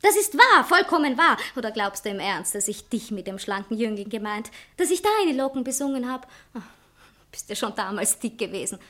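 Tart, haughty society poetess, highish voice. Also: female voice, sing-song, fairly quick, a bit bright. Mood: haughty, mocking. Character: proud, quick-witted young society poetess, cool toward ex-lover. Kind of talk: theatrical satirical comedy acting.

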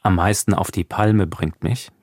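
A man's voice: deep voice, steady tone, a little slow, a little mellow, dry recording, clean studio sound. A man hosting a radio talk show, moderating an interview and reading out short prompts. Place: dry radio studio.

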